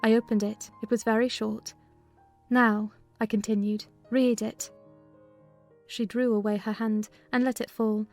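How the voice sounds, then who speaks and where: A woman playing an observant, composed housekeeper who narrates a literary story in a measured, descriptive way. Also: fairly high pitch, flat delivery, darkish tone, faint music behind. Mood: composed, recounting. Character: female housekeeper recounting events, composed and observant servant. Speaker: narrator in a dramatized novel reading.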